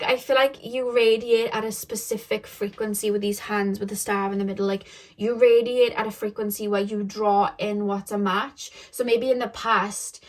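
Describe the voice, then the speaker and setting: A young woman giving a casual tarot reading to the camera, rambling with many fillers and self-corrections, her voice a little shaky. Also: medium pitch. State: voice getting shaky. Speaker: tarot reader.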